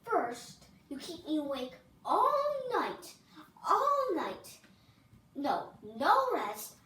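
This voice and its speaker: Kid doing a whiny storybook princess, nagging and fed up, high voice, echoey. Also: a bit bright, full character voice. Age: child. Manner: whining, scolding, emphatic. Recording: distant mic, roomy echo, faint noise.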